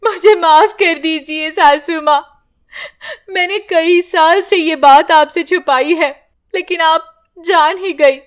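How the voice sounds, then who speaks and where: A woman's voice: very high-pitched, slow pace, pitched above the performer's own voice. A woman voicing a grieving young mother who scolds harshly but breaks down crying in prayer. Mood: tearful. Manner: scolding, then sobbing prayer.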